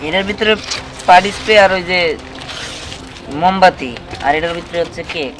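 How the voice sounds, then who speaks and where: A woman's voice: fairly low pitch, brisk, over music, lightly acted, low-quality recording. A woman playing a meek, bullied youngster in a cartoon moral story, hesitant and pleading. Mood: shy.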